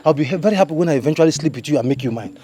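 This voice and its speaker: An actor playing a lecherous, scheming village man in a melodrama, with a Nigerian accent and an excitable, stammering, pushy way of talking. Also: a little high, very fast, crowd noise, natural voice. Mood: pushy, wheedling. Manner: excitable, repetitive stammering pleading. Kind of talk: village melodrama film acting.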